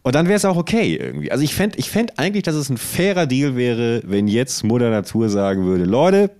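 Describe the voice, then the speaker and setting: A man's voice: medium pitch, sing-song, brisk, darkish tone, dry recording. A man co-hosting a casual comedic podcast, rambling through absurd ideas in an ironic way. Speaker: podcast co-host.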